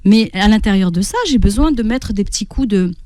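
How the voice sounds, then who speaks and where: A woman's voice: mid-range voice, a little fast, mellow, no room sound, studio quality. A woman giving explanatory advice as a guest coach in a radio interview, teaching through everyday examples and frequent rhetorical questions. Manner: didactic, often checks in with rhetorical questions and acts out inner speech. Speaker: guest parenting coach and therapist. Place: radio studio.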